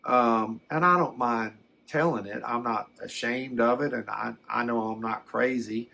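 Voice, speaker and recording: An older man telling a personal story in a casual, unscripted way, halting and repeating words as he reflects. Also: medium pitch, creaky, sharp tone, a little hiss or hum. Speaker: storyteller recounting a personal experience.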